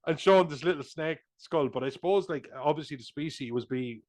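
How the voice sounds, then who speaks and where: Rambling, animated man. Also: a little high, a little fast. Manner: rambling, restarts, swears, animated asides. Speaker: podcast co-host. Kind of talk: casual film discussion.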